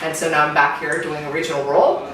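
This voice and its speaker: Low-voiced woman with a Canadian accent. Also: level tone, fast, a crowd in the background. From Singapore. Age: middle-aged. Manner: thoughtful, reflective, with frequent restarts. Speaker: interviewee, fitness client. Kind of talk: casual interview testimonial. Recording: echoey room from far, with background noise.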